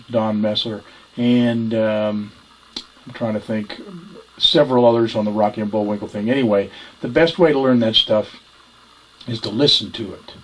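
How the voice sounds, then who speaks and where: A man's voice: mid-range voice, low-quality recording. Man giving an informal voice lesson. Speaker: ventriloquism instructor. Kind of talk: informal instructional talk.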